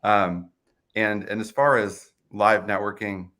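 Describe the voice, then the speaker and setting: A man's voice: lowish voice, flat delivery, slow, sharp tone. A man in his thirties, a company finance chief, speaking earnestly as a guest on a video call interview. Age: 30s.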